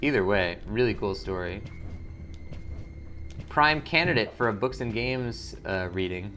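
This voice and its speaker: Low-voiced man doing casual game commentary. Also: measured pace, pushed and bright. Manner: informal, thinking aloud. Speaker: gaming channel host.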